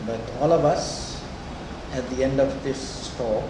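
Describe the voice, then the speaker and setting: An older man touched by English, lecturing conversationally and deliberately, often checking in his students. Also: medium pitch. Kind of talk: classroom lecture with questions to students. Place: indoor lecture room. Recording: echoey lecture room, distant mic, noisy.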